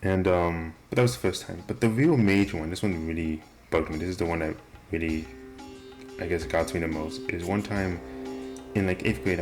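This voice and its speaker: Young man, deep low voice, off R sounds, rambling vlog, music behind. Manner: rambling, self-deprecating, many restarts. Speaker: vlogger. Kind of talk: casual rambling monologue. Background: video game music playing.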